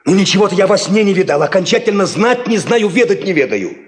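An actor playing an evasive, cocky young peasant farmhand in a 1950s radio drama, cajoling in folksy speech. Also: male voice, high voice, big pitch swings, fast talker. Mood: evasive, protesting. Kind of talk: 1950s Soviet radio play acting.

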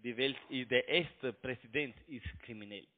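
A man with a foreign accent in German, arguing on a phone call in halting, repetitive broken grammar. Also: medium pitch. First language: not German. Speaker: phone scam caller. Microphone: telephone. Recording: tinny narrow phone line.

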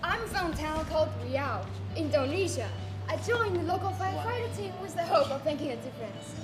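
A woman's voice: high voice, lively intonation, brisk, music in the background. A teenage girl acting in a school stage play, speaking earnestly. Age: teens. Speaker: student performer. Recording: echoey hall stage recording.

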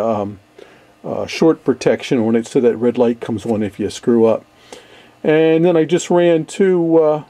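A man's voice: medium pitch, dark tone. An older man giving a casual, easygoing show-and-tell in his workshop, talking in a rambling, hesitant way. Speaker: ham radio hobbyist, video host. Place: cluttered indoor basement workshop.

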